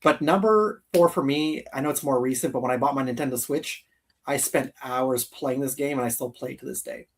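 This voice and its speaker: A man's voice: medium pitch, fairly quick, breathy edge, far from the mic. A man hosting a casual gaming livestream, talking enthusiastically and repeating phrases for emphasis. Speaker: host of a live gaming countdown show. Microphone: streaming call microphone.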